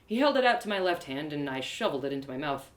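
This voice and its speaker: A woman narrating a first-person story live, in an expressive, theatrical storytelling manner. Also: sits low, quick pace, echoey room. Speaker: storyteller, audio drama creator.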